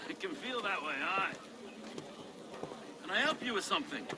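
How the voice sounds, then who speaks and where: A man's voice: sits high, expressive, moderate pace, crowd noise. A man playing a patient, courteous medieval townsman in a game, folksy and polite as he keeps order. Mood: reassuring, helpful. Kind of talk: dramatic game acting.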